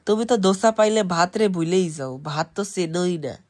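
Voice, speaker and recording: A man's voice: high-pitched, normal speed. A young man with an East Bengali accent, chatting casually with family as a home vlogger.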